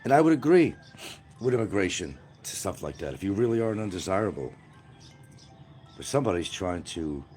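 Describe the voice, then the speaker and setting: Very deep-voiced blunt man streaming outdoors. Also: a little mellow. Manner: blunt, combative, repetitive. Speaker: livestream host. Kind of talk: rambling livestream monologue answering comments. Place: outdoors by a field.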